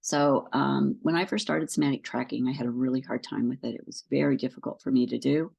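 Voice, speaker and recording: A woman coaching her listeners through slow breathing exercises, her voice full of deep vocal fry and a little stuffy from a head cold. She talks in a calm, patient way, walking through each step with the odd "um" along the way. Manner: calm, patient, explains step by step with frequent ums. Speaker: somatic tracking coach. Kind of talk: instructional talk to camera.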